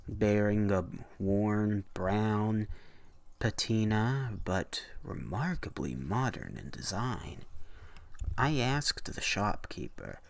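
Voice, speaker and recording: Deep-voiced man with a gravelly, dramatic delivery. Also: animated. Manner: deliberate, theatrical phrasing. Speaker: narrator of horror story readings. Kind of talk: dramatic scripted narration.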